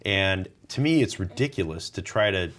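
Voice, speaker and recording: A man's voice: low voice, lively intonation, normal speed, fairly bright tone. A man hosting a studio interview show, chatting and asking questions with light laughs. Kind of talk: casual interview conversation. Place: television studio.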